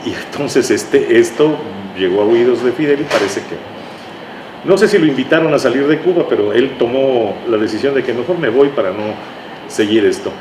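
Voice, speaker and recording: A man's voice: mid-range voice, normal speed. Older Mexican man in an echoey, noisy room. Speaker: interview guest, writer. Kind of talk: conversational reminiscing. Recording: echoey room with clear background noise.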